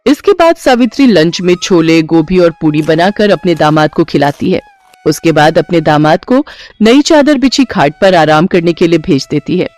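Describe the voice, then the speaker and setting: A man's voice: a little high, animated, normal speed, a little mellow. A man narrating a scripted moral story, with music playing behind him. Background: background music.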